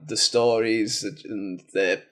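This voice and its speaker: A man with a strong Mancunian accent, hosting a conversational interview in a relaxed, jokey, colloquial way. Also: on the low side, slow. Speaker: podcast host.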